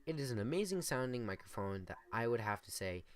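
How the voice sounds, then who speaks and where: A young man with a deep, monotone voice co-hosting a casual improvised podcast and rambling through his points on a desktop USB mic. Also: a little fast. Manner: rambling, filler-heavy. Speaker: podcast co-host.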